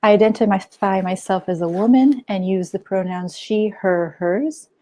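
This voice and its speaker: Woman in her 30s reading out a conference paper, soft mellow tone, steady and formal. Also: mid-range voice, measured pace. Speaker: anthropology PhD student. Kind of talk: read academic conference talk.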